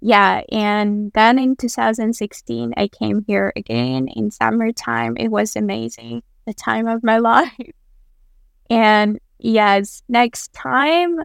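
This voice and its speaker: Young female podcast guest, English not her first language, higher-pitched, smooth tone, conversational. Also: fairly even intonation, takes it slowly, no room sound, studio quality. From Central Asia. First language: not English, which is a third language. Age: young adult. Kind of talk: casual conversational interview.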